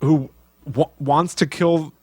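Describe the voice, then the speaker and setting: A man co-hosting a podcast, recounting films in casual chat with halting delivery and slangy, emphatic asides. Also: fairly high pitch, steady tone, slightly rough, no room sound. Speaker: podcast co-host.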